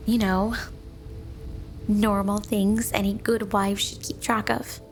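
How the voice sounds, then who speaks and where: A woman's voice: medium pitch, level tone, takes it slowly. A woman voicing a sweet, obsessively devoted yandere snow woman wife in a flirty, doting ASMR roleplay spoken to the listener. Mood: doting, eager. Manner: sugary, doting, flirty, clingy. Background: music.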